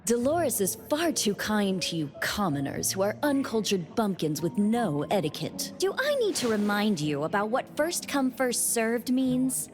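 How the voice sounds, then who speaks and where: An actress playing a snobbish high-society woman in a video game, pompous and condescending. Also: very animated, measured pace, few pauses, music in the background. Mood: disdainful. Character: haughty, snobbish high-society female guest.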